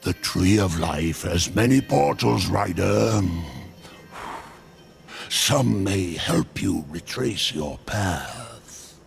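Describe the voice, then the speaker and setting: An actor playing a sly, cryptic demon merchant in a video game, theatrical, mock-humble and darkly amused. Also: male voice, low voice, expressive, drawn-out words, vocal fry, soft background music, full character voice.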